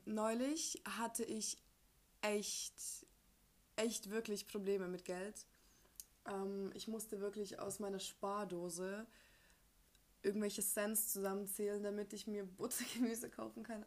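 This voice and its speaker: A young woman from Ukraine hosting a casual personal podcast, speaking informally with youth slang and bits of English mixed in. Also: medium pitch. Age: young adult. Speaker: podcast host.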